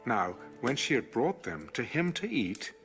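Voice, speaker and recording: A man narrating an audio Bible in a scripted, dramatic storytelling style over soft music. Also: mid-range voice, big pitch swings, unhurried, low-quality recording.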